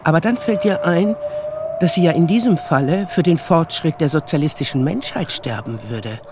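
A man's voice: fairly high pitch, animated, normal speed, background noise. A man narrating a German radio play in a storytelling style.

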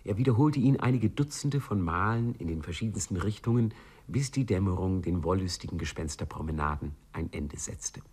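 Wry narrator for a 1950s radio play: measured, literary, urbane, with a husky low voice. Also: male voice, lively intonation, a little mellow. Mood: wry, relishing. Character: wry, urbane male storyteller.

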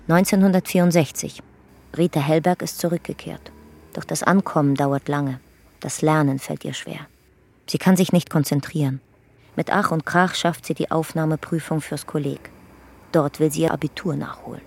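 A woman's voice: low-pitched, fairly even intonation, moderate pace, creaky, darkish tone. A woman narrating a documentary-style radio drama in a factual, composed manner.

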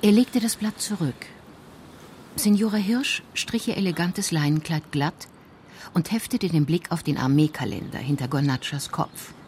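A woman's voice: low-pitched, normal speed, darkish tone, a little hiss or hum. A woman narrator reading scripted crime-story narration for a radio drama.

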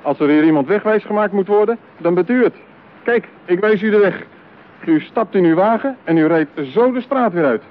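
A man's voice: sits high, animated, normal speed. An actor in a radio play playing a lonely, possessive man besotted with his mechanical bride, talking theatrically. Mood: irritated, dismissive. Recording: tinny narrow-band radio play, clear hiss.

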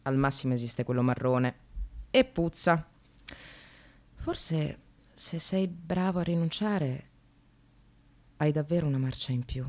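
An actress playing a sardonic, separated working mother in a radio monologue, confessional and swinging from hushed to vehement. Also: low-pitched, a little slow, pauses often. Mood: self-mocking. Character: Sara, bitter, self-mocking separated mother in precarious work.

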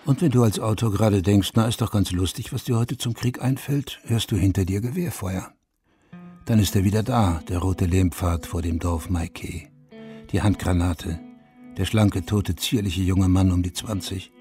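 A man's voice: sits low, moderate pace, dark tone, natural voice. An actor playing a reflective, haunted war veteran and writer in his forties, narrating intimately in a radio drama. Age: middle-aged. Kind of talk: German radio drama first-person narration. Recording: studio radio drama over guitar music.

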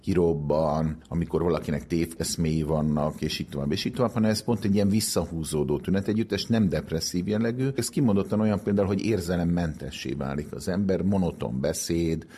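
A man with a very low, dark voice explains scientific work in an interview. His sound is husky and mellow, and he talks in long sentences, restating terms to make them clear. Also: hardly any pauses. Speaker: pharmaceutical research director being interviewed.

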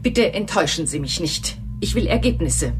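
An actress playing an authoritative, demanding government state secretary, speaking formally in a radio drama. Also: female voice, lowish voice, animated, normal speed, music in the background, close to an everyday voice. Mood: authoritative, brisk. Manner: formal, commanding, clipped.

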